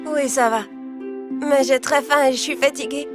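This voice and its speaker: A woman voicing a brave, earnest young boy in a children's animated jungle story. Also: high-pitched, moderate pace, loud music behind the voice, natural voice. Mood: tired, grateful.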